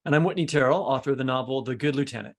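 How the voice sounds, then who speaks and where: A man's voice: mid-range voice, racing. A man co-hosting a podcast in a conversational, chatty style. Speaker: podcast host.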